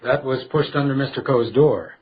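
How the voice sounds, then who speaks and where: A man's voice: medium pitch, normal speed, breathy edge, narrow, tinny audio, natural voice. A man playing a dutiful, stubborn hotel detective in a radio crime drama, plain-spoken and understated. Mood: deferential, terse.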